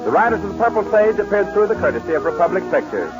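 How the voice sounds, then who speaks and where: A man's voice: sits high, big pitch swings, quick pace. A man with an American accent hosting and announcing a scripted 1940s radio show. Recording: muffled vintage radio broadcast, music behind.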